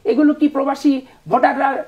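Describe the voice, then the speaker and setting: A woman's voice: high voice, flat delivery, fairly quick, muffled recording. A middle-aged woman from Bangladesh speaking as a politician, arguing combatively in a discussion with rhetorical questions.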